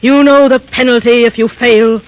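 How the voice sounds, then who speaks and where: A woman's voice: a little high, steady tone, no room sound, dull audio, natural voice. A woman playing a cold, imperious evil queen in a theatrical, commanding 1930s radio drama style. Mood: menacing. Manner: commanding, theatrical, deliberate delivery. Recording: band-limited 1930s radio broadcast.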